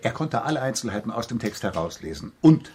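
A man playing an older, courteous actor who speaks with earnest reverence in a husky voice. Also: medium pitch, big pitch swings, fast talker, darkish tone. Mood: reverent.

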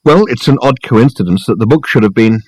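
A man's voice: mid-range voice, lively intonation, a little fast, husky, no echo, voice pushed up, low-quality recording. A man voicing an awkwardly polite, reasonable man with a hesitant, wry, exasperated manner in an audiobook. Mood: defensive.

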